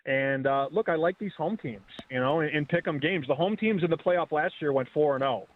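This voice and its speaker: A man in his thirties calling in as a betting guest, talking fast and casually, often restarting his words. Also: a little high. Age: 30s. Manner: quick, restarts words, chatty. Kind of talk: casual sports betting chat. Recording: phone line.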